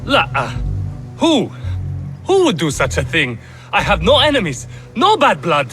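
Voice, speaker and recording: An actor playing an anxious, grateful caravan merchant with a husky, emphatic, agitated delivery in a video game. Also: male voice, sits high, wildly swooping pitch, measured pace, fairly bright tone, soft background music, exaggerated voice. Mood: anxious, bewildered. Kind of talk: video game cutscene acting.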